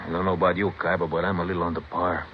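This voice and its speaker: An actor playing a slangy, taunting small-time gangster and drug user in a 1950s radio crime drama. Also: male voice, low-pitched, flat delivery, rapid-fire, natural voice. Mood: needling. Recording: muffled old radio recording, faint noise.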